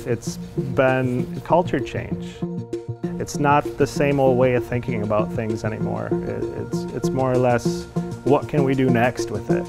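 A man's voice: mid-range voice, steady tone, a little slow, creaky. An American man in his forties, a production manager, talking colloquially in a customer testimonial interview. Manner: plain-spoken, colloquial. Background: music.

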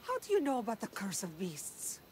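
An actress playing a solemn, grieving older village leader in ancient Greece, in a video game. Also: female voice, medium pitch, huge pitch swings, a little fast, darkish tone, slight background noise. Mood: wary.

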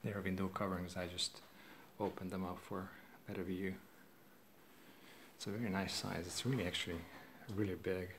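A low-voiced man walks through an empty house, plainly pointing out rooms as a realtor. The phone recording carries clear background noise. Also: little pitch movement, normal speed. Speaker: realtor giving a home tour. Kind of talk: casual walk-through narration. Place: empty house and its yard, indoors and outdoors.

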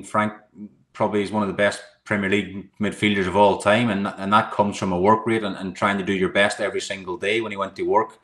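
A middle-aged Irish man talks quickly in a fairly low voice, speaking to the hosts over a video call from a room at home. He rambles a little as he goes, repeating words and leaning on "you know". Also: a bit bright. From Ireland. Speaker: guest, goalkeeping coach. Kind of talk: casual interview answers.

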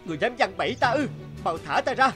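A man voicing a proud, excitable divine male phoenix in a cartoon, with a haughty manner. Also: high-pitched, fast, faint music behind, pitched above the performer's own voice. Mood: indignant.

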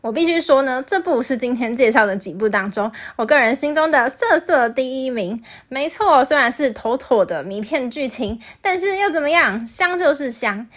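A woman presents a scripted video review as its host. Her voice sits fairly high, with faint music playing behind it. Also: expressive, moderate pace, hardly any pauses, fairly bright tone.

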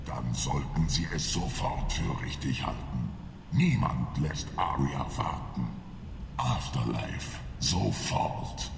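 A menacing alien enforcer in a video game. The voice is very deep, gravelly and slow, curt and commanding, in an echoey space with noisy ambience. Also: male voice, lively intonation, full character voice.

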